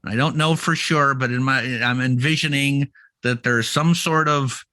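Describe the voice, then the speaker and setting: A man co-hosting a podcast with casual comedic banter, often halting and repeating words as he explains things. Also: medium pitch, steady tone, sharp tone, dry recording. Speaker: podcast co-host.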